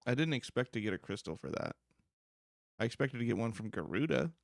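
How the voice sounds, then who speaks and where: A man's voice: mid-range voice, very animated, brisk, vocal fry, no room sound, clean studio sound. A man giving casual, laid-back, slangy commentary over a video game. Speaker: let's play streamer. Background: video game music.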